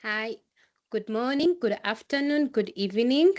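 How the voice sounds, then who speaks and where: Female intern, Tanzanian accent, fairly high voice, semi-formal presenting style. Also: animated, measured pace, muffled recording. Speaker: intern, energy engineer.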